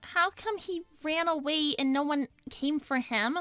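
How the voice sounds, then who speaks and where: A woman's voice: high-pitched, unhurried, fairly bright tone, dry recording. A woman voicing a young female cartoon hedgehog who interviews in character, blunt, pushy and insistent. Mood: puzzled, probing. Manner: insistent, direct.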